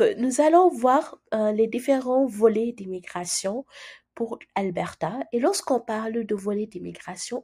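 A woman with a cold hosting a screen-share tutorial, talking softly and casually with many fillers and restarts. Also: mid-range voice, husky. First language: French. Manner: chatty, hesitant with frequent fillers and restarts. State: has a cold, speaking softly. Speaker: immigration channel host.

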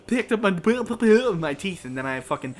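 A man's voice: high voice, sing-song, measured pace. A man co-hosting a gaming show, bantering casually in a crude, goofy, sweary manner over a video game.

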